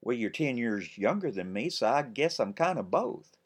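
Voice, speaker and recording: A man with a deep voice narrating, here voicing a brisk, proper young southern woman who teaches school. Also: very animated, slightly rough. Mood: tender.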